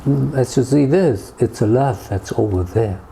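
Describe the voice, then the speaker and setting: A man with a German accent talks as an interview guest. His voice is mid-pitched, soft-edged and gravelly. He speaks in short, emphatic bursts and often checks in with "yeah?", with some background ambience around him. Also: moderate pace. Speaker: interview guest, neighbourhood tour guide. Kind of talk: conversational interview answers. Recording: clear background noise, ambient sound.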